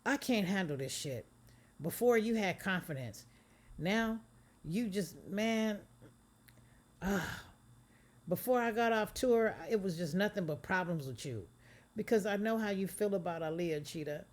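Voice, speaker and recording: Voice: mid-range voice, expressive, measured pace, pitched above the performer's own voice, lightly acted. A reader voicing a fed-up young man who curses and argues in a raised, exasperated voice. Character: fed-up young male rapper boyfriend.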